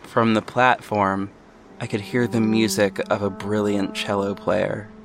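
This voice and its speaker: A man playing a reflective, earnest city hero who narrates an audio drama in the first person, in a measured storytelling way. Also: on the low side, little pitch movement.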